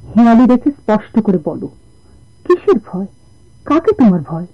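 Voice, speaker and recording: A woman's voice: fairly high pitch, very animated, normal speed. An actress playing a tender, anxious young woman in love with a sailor, emotive in radio drama style. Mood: puzzled. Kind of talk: Bengali radio drama acting. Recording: dull, lo-fi old radio recording.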